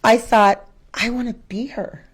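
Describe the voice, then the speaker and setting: A woman's voice: on the high side, measured pace, slightly rough. A woman answering interview questions as a guest, a schoolteacher who talks reflectively and expressively in a conversational way. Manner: reflective, expressive, quotes her own thoughts and asks rhetorical questions.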